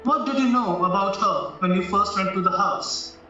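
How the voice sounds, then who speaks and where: Man acting an echoey, high-voiced irritable senior barrister. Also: moderate pace, pushed and bright. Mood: businesslike, probing. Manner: formal, questioning. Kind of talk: stage-style audio play acting. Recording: very echoey, distant, muffled, music behind.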